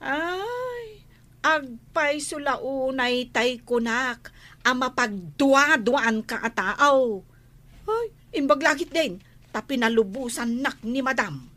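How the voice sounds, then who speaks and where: A woman's voice: high-pitched, lively intonation, slow pace, sharp tone, dry recording, exaggerated voice. A woman playing a protective, pleading housemaid, a big-sister figure, exclaiming plaintively in a radio comedy-drama. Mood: scolding, protective.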